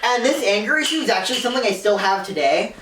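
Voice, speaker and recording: A woman gives a joking lecture to a crowd, standing back from the mic in a room that rings around her voice. Her tone stays mid-pitched as she mocks the book and hops into voices for the people in it. A faint hiss sits under the recording. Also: expressive, fast talker, recorded from a distance. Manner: mocking, slips into voices of the people she summarizes. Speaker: comedy lecturer summarizing a book. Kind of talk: comedic lecture to a live audience. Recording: roomy, from off mic.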